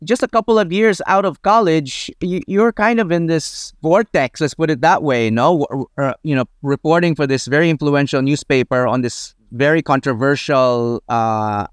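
A man with a Filipino accent hosting an interview, putting his questions in a conversational, halting way. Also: fairly high pitch, clear, resonant tone, dry recording, clean studio sound. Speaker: interviewer, podcast host.

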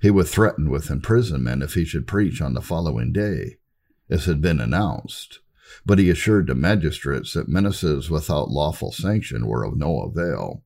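A man narrating a scripted history audiobook in a formal, measured, oratorical way. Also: a bass voice, gravelly, darkish tone. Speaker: narrator reading church history.

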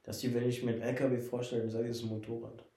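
A man's voice: medium pitch, little pitch movement, rapid-fire, warm tone, reverberant. A young man co-hosting a casual podcast chat, tired and joking, sometimes mumbling. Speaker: podcast co-host.

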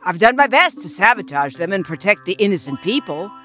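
An actor plays a sober undercover protector in a period audio drama. His voice is on the high side, measured and formal, with light music underneath. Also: sing-song, sharp tone, heavily acted. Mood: earnest, weary. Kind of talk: audio theatre acting.

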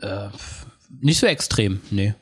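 A man's voice: lowish voice, expressive, normal speed, husky. A man from the Ruhr area, talking in a thoughtful, hesitant way with many fillers in a casual chat. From Ruhr area, Germany.

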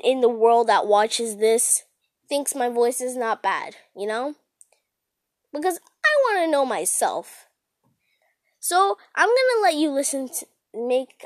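A woman's voice: sits high, dry recording, clean studio sound. A young woman chatting casually and a little giggly as a first-time podcast host, self-deprecating and given to dramatic emphasis. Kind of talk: casual rambling solo chat.

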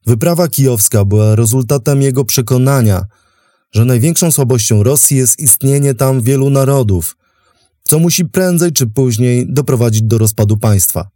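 A man hosting and narrating a history programme from a script, in a measured, articulate, formal manner. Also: mid-range voice, mellow, no room sound, clean studio sound. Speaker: podcast host and narrator.

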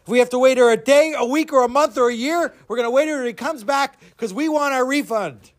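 Guy doing angry high-pitched townspeople yelling for their money. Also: fast talker. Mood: demanding.

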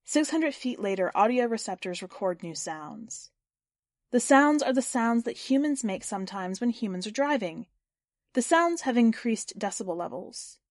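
A woman's voice: on the high side, animated, moderate pace, no echo, clean studio sound. A woman reading scripted fiction and hosting a story podcast. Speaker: podcast host and story reader.

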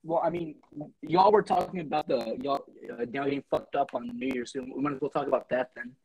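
A young man hosting a casual podcast among friends, talking fast with a stutter and plenty of swearing. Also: medium pitch, darkish tone, breathy edge, no room sound, rough recording.

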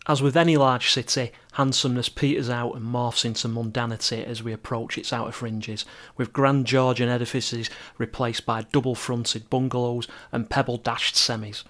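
A middle-aged man narrates a travel podcast at a medium pitch. He reads descriptive scripted prose and drops in chatty asides. His manner is wry and self-deprecating. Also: flat delivery, moderate pace, fairly bright tone. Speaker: podcast host and travel writer.

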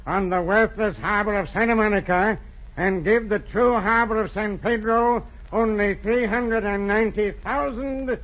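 A man's voice: high-pitched, fairly even intonation, measured pace, a bit bright. A man playing an earnest nineteenth-century senator making a formal speech in 1940s radio drama style. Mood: earnest, persuasive. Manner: formal, oratorical. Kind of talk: 1940s radio acting, oratory. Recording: muffled 1940s radio recording.

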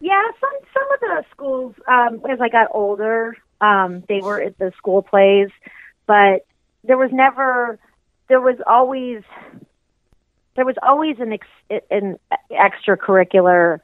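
A woman's voice: a little high, animated, a little slow, dry recording. A woman musician being interviewed, speaking reflectively and hesitantly with many restarts. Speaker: musician guest. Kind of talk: casual interview chat. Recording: tinny phone line.

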